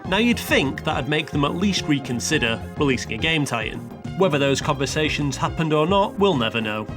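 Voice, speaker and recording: Male countdown reviewer, slightly high and very animated, sarcastic and mock-dramatic scripted narration. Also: normal speed. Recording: music playing behind the voice. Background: background music.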